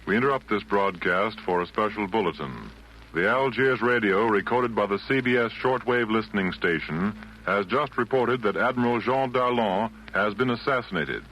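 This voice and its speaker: A dull vintage radio recording with faint noise. A male announcer speaks in a lowish voice. His delivery is formal and measured, in 1940s broadcast style. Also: a bit bright.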